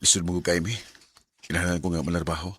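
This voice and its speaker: A man playing a poor young student and soft-spoken suitor in a sentimental radio drama, with a Filipino Bisaya accent. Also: sits low, flat delivery, fast talker, husky. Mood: downcast, reassuring.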